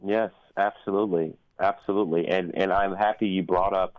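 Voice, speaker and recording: A man with a deep voice talks in an earnest, reflective way, as a guest in an interview. He pauses and doubles back on phrases while he thinks. The sound is close and dry but dull and lo-fi. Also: fairly even intonation, no room sound. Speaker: guest, performer, teacher and activist. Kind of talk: earnest interview conversation about community events.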